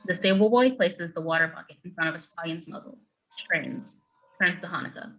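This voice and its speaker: A woman narrates a screenplay's stage directions. Her voice sits a little low but has a very bright, forward tone. She reads matter-of-factly in clipped phrases. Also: fairly quick, faint music behind, rough recording.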